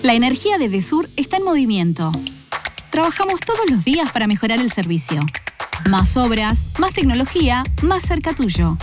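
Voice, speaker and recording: A female announcer with a high, lively voice reads a radio commercial with energy. Clear music plays beneath her. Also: moderate pace.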